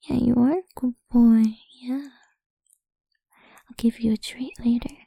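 A woman speaking in a soft, coaxing, mostly whispered voice for an intimate ASMR roleplay. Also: a little high, fairly even intonation, unhurried, creaky, husky, dry recording.

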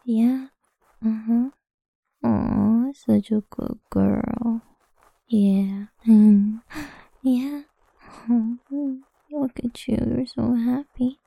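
Woman whispering asmr roleplay, low gravelly breathy voice, flat and slow, right up on the mic. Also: no echo, low-quality recording. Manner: soft, slow, coaxing whisper.

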